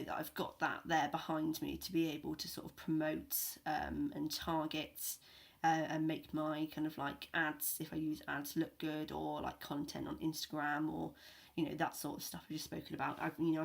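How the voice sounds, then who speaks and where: A woman's voice: sits low, creaky. A woman vlogger giving informal advice in a hesitant, rambling way. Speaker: online marketing vlogger.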